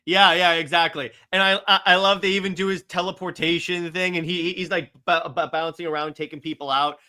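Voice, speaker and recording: A man hosting a casual movie discussion, talking in an excitable, emphatic way. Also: sits high, a little fast. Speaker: podcast host.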